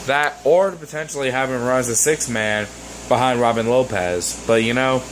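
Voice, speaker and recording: Man, hoarse voice, casual sports rant, exasperated, rambling, mid pitch, faint background hiss. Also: rough recording. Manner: rambling, exasperated, with many fillers. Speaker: sports vlogger. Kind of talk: casual ranting vlog.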